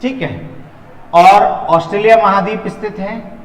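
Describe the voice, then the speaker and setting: A man's voice: high voice, unhurried. A man teaching in an exam-prep lecture style, repeating phrases and asking questions that he answers himself. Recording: echoey hall-like room reverb.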